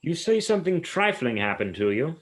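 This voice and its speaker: A man's voice: fairly high pitch, sing-song, fairly quick. A man acting in a radio play as a solemn, haunted psychiatrist who speaks formally and ominously. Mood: measured. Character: grave, haunted male psychiatrist who fears the supernatural.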